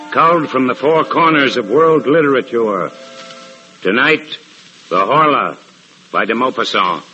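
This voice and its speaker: A man's voice: mid-range voice, bright, forceful tone. A man announcing a mystery show in dramatic 1940s radio style. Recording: dull old radio recording, music behind.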